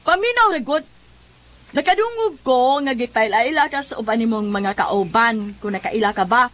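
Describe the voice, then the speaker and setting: A woman's voice: fairly high pitch, lively intonation, normal speed, a bit bright. An actress playing an exasperated village woman who nags and lectures in a Cebuano comedy radio drama. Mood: lecturing. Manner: nagging, lecturing. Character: scolding, exasperated village woman, morally reproving.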